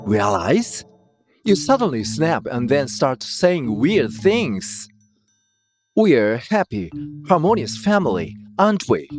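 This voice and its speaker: Man acting a self-righteous, whiny husband, animated delivery. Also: mid-range voice. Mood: incredulous. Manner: scolding, indignant, condescending. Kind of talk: dramatized manga dub dialogue. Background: music.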